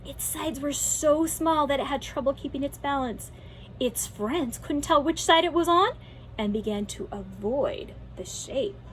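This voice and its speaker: A woman reading a children's storybook aloud expressively, with deliberate, emphatic phrasing, like a teacher for pupils. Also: sits high, a little hiss or hum, poor audio.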